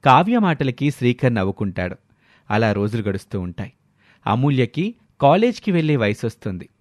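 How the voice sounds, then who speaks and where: A man reads a scripted moral tale in the role of storyteller. His voice is of average pitch for a man. The recording is dry, with no room sound. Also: big pitch swings, moderate pace, no room sound.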